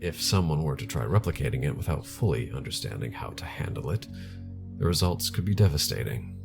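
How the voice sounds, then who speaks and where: An actor playing a grave, formal scientist in an audio drama, speaking in a measured, deliberate way. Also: male voice, a bass voice, very animated, gravelly, over music, exaggerated voice. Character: grave, measured male scientist heading a bioengineering department. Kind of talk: audio drama acting, interview.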